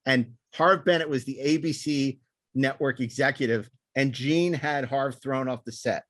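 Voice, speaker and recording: A man's voice: medium pitch, unhurried, fairly bright tone, no echo. A man co-hosting a podcast, telling background history casually, often repeating words as he emphatically builds his point. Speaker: podcast co-host. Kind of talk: casual anecdotal panel discussion, explaining history.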